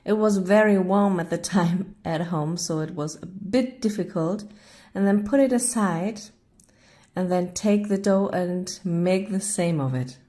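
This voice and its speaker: Woman, German accent, native German speaker, unhurried, warm mellow tone, hesitant and searching for words. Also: fairly low pitch. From northern Germany. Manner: searching for English words, apologetic, hesitant with many uh fillers. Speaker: cooking vlogger. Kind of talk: narrating a cooking video.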